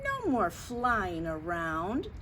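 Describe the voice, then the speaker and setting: Lady doing a worried little boy fox from a storybook, earnest n caring, slow talker, noisy recording. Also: mid-range voice, huge pitch swings, sharp tone, distant mic, exaggerated voice. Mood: determined. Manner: earnest, reassuring, simple childlike phrasing. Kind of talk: children's storybook read-aloud.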